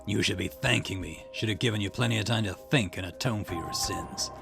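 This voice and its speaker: A man voicing a gruff, weary older chief detective from a visual novel, with a rough, terse delivery. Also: fairly low pitch, a little fast, over music. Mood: stern, irritated. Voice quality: gravelly, rough. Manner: terse, stern.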